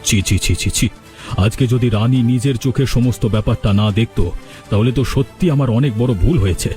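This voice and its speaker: Indignant village headman for cartoon, male actor, authoritative, emphatic, medium pitch, music bed. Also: moderate pace, darkish tone, natural voice. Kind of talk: cartoon voice acting in a village drama.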